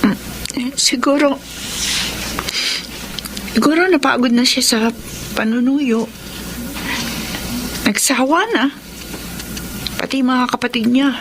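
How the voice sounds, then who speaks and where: A woman's voice: sits high, takes it slowly, long pauses. A woman acting a guarded, lovelorn Filipina in a radio drama with noisy sound. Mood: hurt, wistful. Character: guarded, lovelorn woman afraid of being hurt again.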